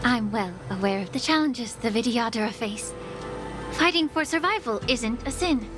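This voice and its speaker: Woman voicing poised game official lady, polite but shrewd, kinda high voice, loud music under it. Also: expressive, natural voice. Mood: grave, reproachful. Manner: polite, formal, deliberate. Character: composed, courteous, shrewd young female official. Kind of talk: dramatic video game cutscene acting.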